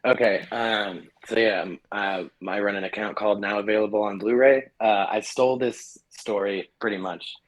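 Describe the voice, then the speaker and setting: A young man speaks as a guest in a casual chat over a remote call. His voice is on the low side, and his talk is easygoing and hesitant, full of fillers and small laughs. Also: steady tone, moderate pace, hardly any pauses, rough recording.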